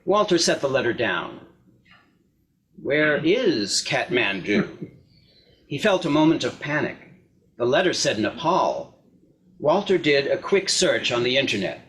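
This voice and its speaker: A man's voice: mid-range voice, expressive, moderate pace, a bit bright. A man narrating a scripted short story aloud as a reader in a readers theater. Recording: clear background noise, clicks.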